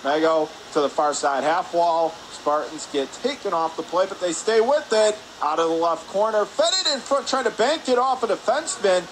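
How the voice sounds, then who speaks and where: A man doing live radio play-by-play hockey commentary in rapid, descriptive calls. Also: high-pitched. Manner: rapid descriptive calls, excited on scoring chances. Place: press area above rink in an indoor arena. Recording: noisy ice arena, steady background din.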